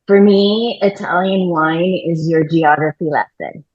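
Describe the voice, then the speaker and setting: Over slightly muffled, lo-fi call audio, a middle-aged woman hosts a casual interview. Her voice sits a little low, and she is chatty and encouraging with her guests. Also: a little slow, a little mellow. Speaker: interviewer, host. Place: bright room at home, on a video call. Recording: dull, lo-fi video-call audio.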